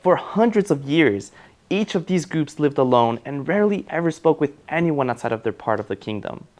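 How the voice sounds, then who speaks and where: A man playing an eager, encouraging schoolteacher who reads fairy tales aloud to children in an animated, coaxing way. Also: a little high, a little fast, natural voice. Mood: storytelling. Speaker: teacher and storyteller. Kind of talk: children's read-aloud storytelling.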